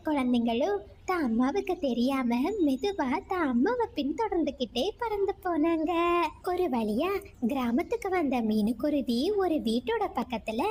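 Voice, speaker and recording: A woman's voice: high-pitched, mellow, a little hiss or hum. A woman narrating a scripted children's moral story as storyteller.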